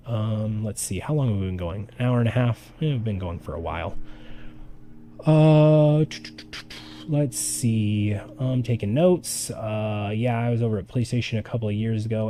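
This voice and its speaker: A man giving casual art critique on a live stream, talking loosely with lots of fillers, his voice getting raspy. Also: fairly low pitch, mellow, slight background noise. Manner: loose and chatty, with many "like" fillers. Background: faint background music.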